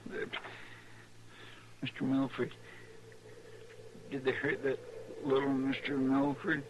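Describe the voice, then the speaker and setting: An actor playing a loyal, simple young deputy sidekick in a 1950s radio western, deferential with a high drawl. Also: male voice, completely flat delivery, takes it slowly, lo-fi sound, close to an everyday voice. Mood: dazed. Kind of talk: 1950s radio drama acting. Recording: noisy old radio broadcast.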